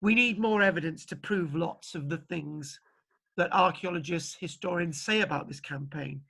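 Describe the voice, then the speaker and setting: A man's voice: on the high side, normal speed, creaky, fairly bright tone, husky. A man lecturing an online archaeology class, chatty and jokey, rambling a little as he talks. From Britain. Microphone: video call.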